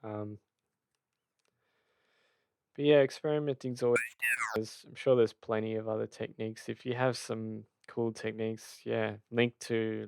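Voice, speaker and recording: A man giving an informal software tutorial, hesitant and thinking aloud as he explains. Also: lowish voice, gravelly, clear, resonant tone, dry recording. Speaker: tutorial host.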